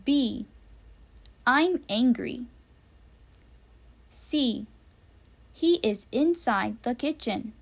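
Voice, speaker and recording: A woman reading an English listening quiz for learners, carefully enunciated, with pauses between the answer choices. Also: a little high, animated. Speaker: English teacher.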